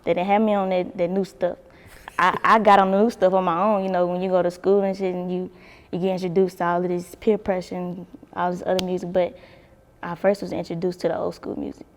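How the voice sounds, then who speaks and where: Gravelly-voiced young woman. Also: fairly low pitch, little pitch movement, a little fast, long reverb tail. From Baton Rouge, Louisiana. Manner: laid-back, swears freely, laughs often. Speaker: singer, interview guest. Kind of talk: casual interview chat.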